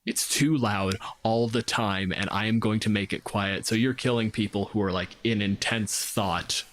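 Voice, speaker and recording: A man with a lowish voice talks in a casual panel discussion on tabletop games. He thinks aloud and works through each idea analytically. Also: fairly even intonation, moderate pace, a bit bright.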